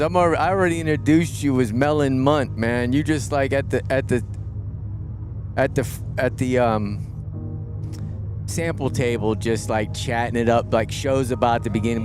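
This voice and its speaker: A man's voice: mid-range voice, moderate pace. A man hosting a casual live show outdoors at a market, rambling and restarting his sentences while teasing his co-host. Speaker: podcast host. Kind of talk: casual live-stream chat. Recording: clear music in the background.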